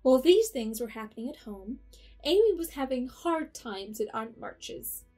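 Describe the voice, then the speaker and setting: A woman narrates a period story in measured storybook style. Her voice sits fairly high, with faint music beneath it. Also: sing-song, mellow, husky. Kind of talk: scripted narration.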